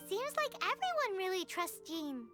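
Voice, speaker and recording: A woman's voice: very high-pitched, big pitch swings, normal speed. A woman voicing a tiny, cheeky, childlike fairy companion in an anime video game. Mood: curious. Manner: refers to self in third person, exclamatory, indignant. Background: music.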